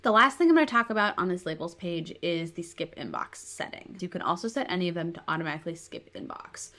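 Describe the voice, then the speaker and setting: A woman's voice: lowish voice, sing-song, fast, bright, forceful tone. A woman narrating a casual screen-share tutorial, explaining things conversationally as if thinking aloud. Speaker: software product presenter.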